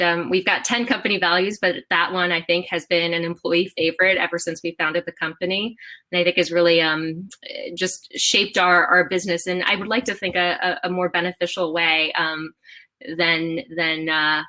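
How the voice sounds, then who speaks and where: A woman in her thirties, founder of a company, answering interview questions in an animated way, with many fillers and restarts. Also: fairly low pitch, moderate pace. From half Japanese. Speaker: founder and CEO of a clothing brand.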